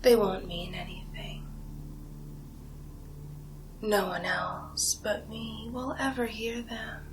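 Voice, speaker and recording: A woman playing a condescending, seductive vampire in an ASMR hypnosis role play, whispering breathily with slow, hypnotic pauses. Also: medium pitch, little pitch movement, background noise, lightly acted. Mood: mocking, gentle. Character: condescending seductive female vampire feeding on human prey.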